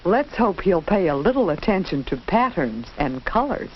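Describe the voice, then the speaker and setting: A woman's voice: lowish voice, big pitch swings, normal speed. A woman giving scripted, instructive narration in a 1940s educational film style, carefully enunciated. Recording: dull, noisy 1940s film soundtrack.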